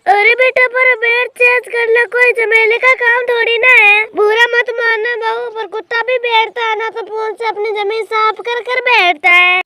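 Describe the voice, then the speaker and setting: A woman's voice: very high voice, bright, forceful tone. A woman voicing a middle-aged, exasperated mother bird in a cartoon story, scolding and lecturing with affectionate words. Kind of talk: Hindi cartoon story dialogue.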